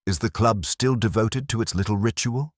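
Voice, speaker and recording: A man playing a wry, brisk young private detective who talks in dry wisecracks, in an audiobook mystery. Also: fairly low pitch, dry recording, pitched above the performer's own voice, natural voice. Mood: wry, probing.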